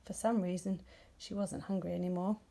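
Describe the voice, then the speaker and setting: A woman narrating a story for young children in an expressive storytelling style. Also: on the low side, a little fast, creaky. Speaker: storybook reader.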